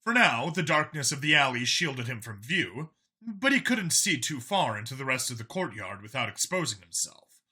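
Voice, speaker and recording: A man narrates an audiobook with a very bright, forceful voice at a middle pitch. His delivery is scripted and dramatic, clearly storytelling. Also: sing-song, normal speed.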